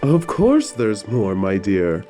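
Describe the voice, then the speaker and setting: A man playing a gentle, apologetic old-time leather tanner in an audio drama, tender and soothing with old-fashioned phrasing. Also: fairly low pitch, huge pitch swings, slow, soft background music, exaggerated voice. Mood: soothing, affectionate. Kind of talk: romantic audio drama acting.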